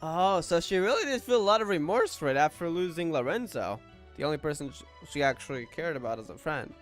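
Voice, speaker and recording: A man's voice: fairly high pitch, lively intonation, quick pace. A man giving casual reaction commentary on a story game, with murmured asides and chuckles. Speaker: gamer reacting to a story playthrough. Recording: faint game music behind. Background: game dialogue and soft music.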